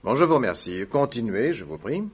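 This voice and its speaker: A man's voice: mid-range voice, big pitch swings, brisk, slightly rough. A man voicing an inquisitive male detective in a radio drama, asking probing, courteous questions. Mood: inquiring. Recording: dull muffled audio recording.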